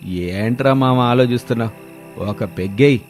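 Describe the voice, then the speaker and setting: A man's voice: medium pitch, moderate pace, soft background music, natural voice. A man voicing a pushy, chummy drinking buddy in a moral story, slangy and cajoling. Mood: coaxing. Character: pushy, chummy male drinking buddy, coaxing his friend. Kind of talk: Telugu moral story dialogue.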